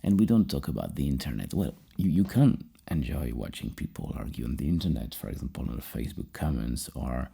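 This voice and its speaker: Super low mellow guy doing soft asmr therapist talk, kinda rambling and hesitant. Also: lively intonation, a little fast, creaky, hardly any pauses, husky. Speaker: ASMR performer playing a psychologist.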